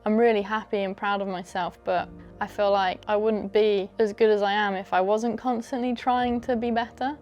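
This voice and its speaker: A young adult woman racer reflecting in a documentary interview, self-described as having a monotone voice. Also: medium pitch, moderate pace, faint music behind. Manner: reflective, says her voice is monotone.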